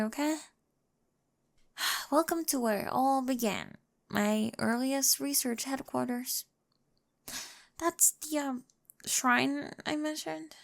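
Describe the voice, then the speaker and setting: Woman playing an awkward nerdy girlfriend, high and creaky. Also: no room sound, studio quality. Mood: nervous, sheepish. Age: young adult. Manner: hesitant, precise, often dropping to a breathy whisper. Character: awkward, nerdy, intense, affectionate young girlfriend. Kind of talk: ASMR girlfriend roleplay. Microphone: close microphone.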